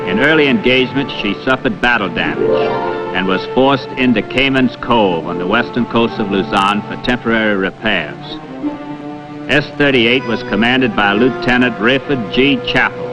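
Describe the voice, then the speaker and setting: An American man narrating a 1950s documentary drama in a scripted style. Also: on the low side. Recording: dull 1950s film soundtrack. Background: loud music.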